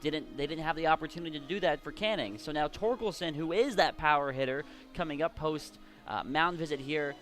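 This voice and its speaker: A man's voice: a little high, brisk, few pauses, a bit bright. An American man giving chatty, explanatory live analysis as a baseball color commentator. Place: at a baseball stadium.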